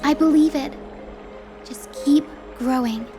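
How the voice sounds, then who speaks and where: A woman voicing a gentle, nurturing young healer in a fantasy video game, speaking in an encouraging way. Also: high-pitched, slow, faint music behind. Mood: tender.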